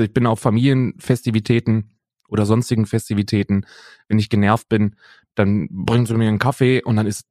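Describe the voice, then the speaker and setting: A man's voice: lowish voice, a little mellow, no echo, clean studio sound. A man co-hosting a podcast in casual chat, rambling with many fillers and restarts, recorded over a remote call. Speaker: podcast co-host.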